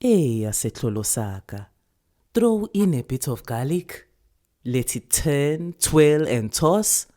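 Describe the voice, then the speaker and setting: A woman's voice: low voice, animated, takes it slowly, mellow. A woman voicing a weary, loving old village grandmother, coaxing and teasing as she savours her words. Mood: playful, coaxing. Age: older. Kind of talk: storybook character voice.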